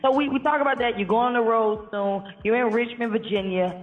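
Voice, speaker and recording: A man's voice: very high voice, steady tone, a little fast, big-room sound, narrow, tinny audio, faint music behind. A man hosting an interview, talking in a casual upbeat way with long loose questions. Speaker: interview host.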